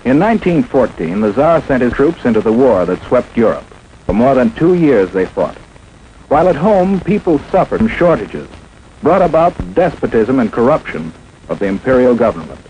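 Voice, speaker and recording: A man's voice: mid-range voice, big pitch swings, moderate pace, a little mellow, slight background noise, rough recording. An American man narrating a 1950s instructional film in an emphatic, dramatic, scripted manner, on an old film soundtrack. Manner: emphatic, dramatic, rhetorical questioning.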